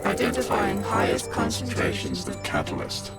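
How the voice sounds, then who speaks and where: A calculating machine swarm analyst in a sci-fi game. The pitch is high and extremely varied, the phrases clipped, with loud music behind. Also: male voice, huge pitch swings, moderate pace. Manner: clipped, procedural. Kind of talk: scripted video game cutscene acting.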